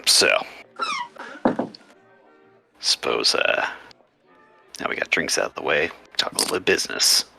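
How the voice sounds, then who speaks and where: Casting: low, gravelly male tabletop player, bright edge, halting and hesitant. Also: animated, faint music behind. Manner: halting, many fillers. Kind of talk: playing a tabletop game in character.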